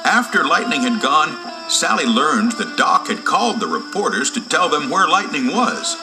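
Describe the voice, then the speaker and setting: Male children's story narrator, dramatic and animated, slightly high voice, over a loud musical score. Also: normal speed, music in the background, poor audio.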